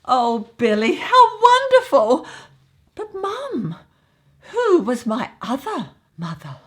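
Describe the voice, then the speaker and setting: Very high, gushing soap ingenue. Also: female voice, wildly swooping pitch, takes it slowly, heavily acted. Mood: breathless astonishment. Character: naive, gushing young daughter in radio soap. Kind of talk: melodramatic radio soap acting.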